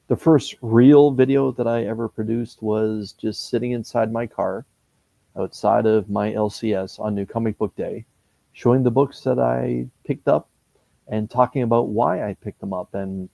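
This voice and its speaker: A Canadian man in his fifties, a comic book YouTuber talking casually in an interview, pausing often with fillers and restarts. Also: fairly low pitch, vocal fry, dark tone, no room sound, rough recording. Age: 50s. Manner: hesitant, many fillers and restarts.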